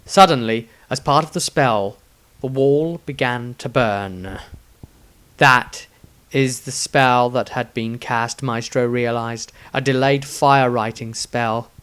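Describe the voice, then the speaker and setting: A man's voice: mid-range voice, takes it slowly, a bit bright, smooth. Man narrating a fantasy story. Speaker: author and narrator.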